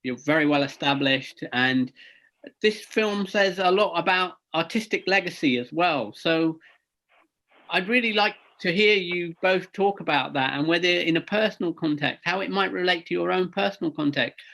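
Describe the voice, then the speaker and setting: A man interviews two actors, listening closely and chiming in with short agreements. His voice sits somewhat high and has a gravelly, creaky edge. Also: moderate pace, bright, forceful tone, low-quality recording. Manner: attentive, frequent short agreements. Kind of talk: interview questions.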